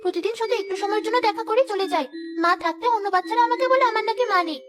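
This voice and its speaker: A woman's voice: very high voice, very fast. A woman voicing an inquisitive, loving little village girl in a cartoon, eager and insistent. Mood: curious. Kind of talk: cartoon character acting.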